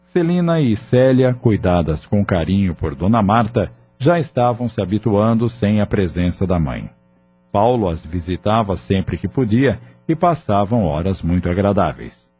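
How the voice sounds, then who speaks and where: Deep-voiced Brazilian man narrating over music. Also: animated, moderate pace, husky, highs cut off. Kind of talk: scripted audio drama narration. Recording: band-limited recording with music bed.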